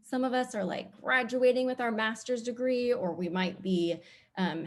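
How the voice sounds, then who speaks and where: A middle-aged woman TV producer speaking casually as a panelist, with blunt opinions and animated, comic exaggeration. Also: medium pitch.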